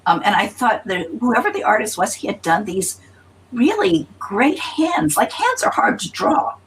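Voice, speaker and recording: An older woman telling a story in a casual interview, lively, with frequent laughs and restarts. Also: mid-range voice, unhurried, slight background noise, rough recording. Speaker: interview guest.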